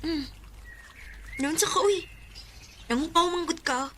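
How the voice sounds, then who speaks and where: An actress playing a gentle, frank teenage schoolgirl with a Cebuano accent in a radio drama. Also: extremely high pitch, a little slow, a little hiss or hum. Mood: teasing.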